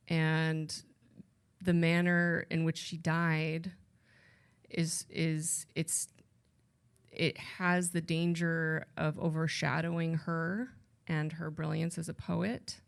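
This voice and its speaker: Woman translator, low voice, vocal fry, thoughtful, rambling, casual panel talk. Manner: thoughtful, rambling, self-correcting. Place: library event stage.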